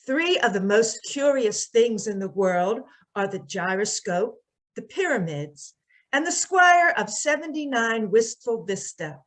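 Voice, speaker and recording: A woman's voice: a little high, big pitch swings, measured pace. A woman announcer reading scripted narration in an old-time radio show style.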